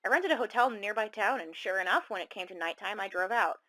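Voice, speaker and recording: A woman acting as a self-conscious caller on a horror radio drama. Her mid-pitched voice is rueful and confiding, wandering through a conversational monologue with small hesitations. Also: animated, fast, bright, forceful tone, poor audio. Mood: reflective, uneasy.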